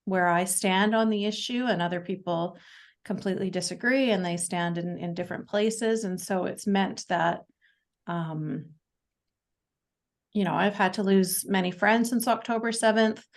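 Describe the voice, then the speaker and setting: A Canadian woman in her forties, a guest speaking in a casual interview, talking haltingly with many fillers and restarts. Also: mid-range voice. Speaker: podcast guest.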